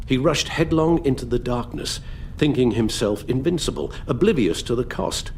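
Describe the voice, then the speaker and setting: An actor playing a weary, sorrowful elderly English butler in a video game, with a British accent and a formal, measured, emotive delivery. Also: male voice, medium pitch, expressive, darkish tone, slight background noise, lightly acted. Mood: earnest. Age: older. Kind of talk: dramatic video game voice acting.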